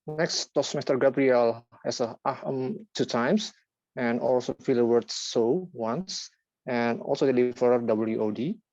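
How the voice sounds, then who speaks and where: A man reading out a filler-word tally at a club meeting, in a list-like, halting way with frequent "uh". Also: medium pitch, darkish tone, no echo. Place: online video meeting. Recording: dull lo-fi call audio.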